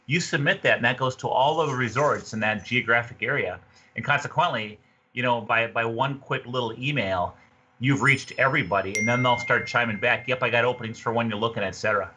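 A man in his fifties talks casually and conversationally as an interview guest. His voice is forceful and rough-edged, coming through slightly muffled lo-fi video call audio. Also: mid-range voice, fairly quick. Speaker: podcast guest, fishing tourism representative. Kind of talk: casual fishing report interview. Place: small room indoors, on a video call.

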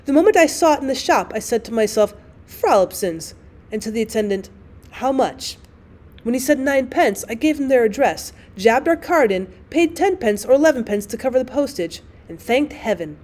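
A woman's voice: a little high, expressive, normal speed, darkish tone. A woman voicing a weary, practical wife in a storybook, with wry, formal phrasing. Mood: tart.

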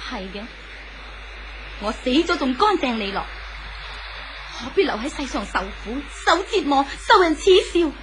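A volatile, high-voiced spurned wife. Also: female voice, big pitch swings, measured pace, long pauses, rough recording. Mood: bitter, despairing. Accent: Hong Kong Cantonese. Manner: impassioned, dramatic, brittle. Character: spurned, jealous, bitterly despairing wife, volatile and possessive. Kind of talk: 1970s Cantonese radio drama acting. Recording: faint hiss on old radio recording.

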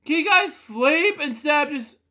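A man reading a bedtime storybook aloud in a halting way, often breaking off to comment. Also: very high-pitched, animated, bright, forceful tone. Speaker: storybook reader. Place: indoor room at a computer.

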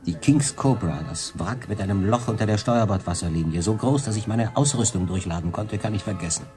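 A very low male voice of an actor plays a weary castaway sailor in a radio drama. He broods as he recounts his ordeal, with music underneath. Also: lively intonation, fairly quick, warm tone, lightly acted. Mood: weary, brooding. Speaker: narrator and main character. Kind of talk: German radio drama monologue narration.

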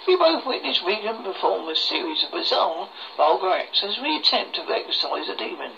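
Halting horror storyteller with swooping pitch. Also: very animated, vocal fry, bright, forceful tone. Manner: halting reading with stumbles over words. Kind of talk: scripted horror story narration read aloud. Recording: muffled lo-fi recording with faint noise.

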